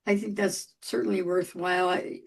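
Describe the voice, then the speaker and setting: A woman's voice: on the low side, flat delivery, normal speed, vocal fry. A woman in her eighties hosting an interview, chatty and friendly, laughing often. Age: 80s. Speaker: podcast host. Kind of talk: casual interview talk.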